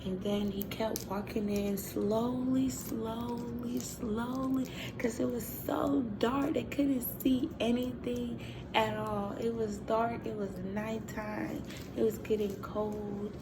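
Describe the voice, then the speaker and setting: A woman with a slightly high voice tells a children's story off the cuff. She takes her time, repeating phrases deliberately, and there is clear ambient noise throughout the recording. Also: fairly even intonation. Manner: slow, deliberate, repeating phrases, with sound effects. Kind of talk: improvised oral storytelling for children. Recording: clearly noisy, ambient room sound.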